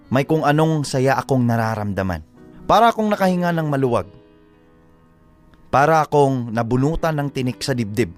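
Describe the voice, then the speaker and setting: A man's voice: a little high, lightly acted. A man playing a reflective young Filipino who narrates his love story in a scripted, earnest first-person style. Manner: confiding, earnest.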